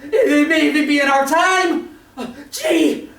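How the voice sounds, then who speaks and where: A woman playing a manic, bitter male artilleryman in an old-time radio drama, stammering and excitable, given to shouting. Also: high voice, unhurried. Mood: manic, grandiose. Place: stage performance in a roomy theatre. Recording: echoey stage, captured from far off.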